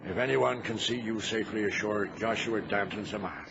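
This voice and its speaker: An actor playing a gruff, dutiful seaman aboard a sailing ship in an old radio adventure drama. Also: fairly low pitch, moderate pace. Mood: gruff, steady. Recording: noisy, muffled vintage radio recording.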